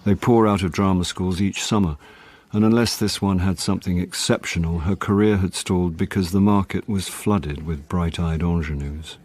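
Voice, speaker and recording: Middle-aged British jobbing actor, low pitch, wry, self-deprecating, radio drama delivery. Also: male voice, steady tone, moderate pace, few pauses, a little mellow. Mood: wry, confiding. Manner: dry, self-deprecating, hesitant in conversation. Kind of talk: radio drama narration and dialogue.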